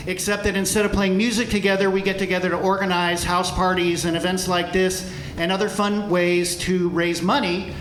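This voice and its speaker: Man, middle-aged, high-pitched, earnest and grateful, live speech, echoey hall, light crowd noise. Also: little pitch movement, normal speed, hardly any pauses, fairly bright tone. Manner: earnest, grateful, rallying, with fillers. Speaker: volunteer speaker at a fundraiser concert. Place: large room with live audience. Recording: echoey room, crowd murmur.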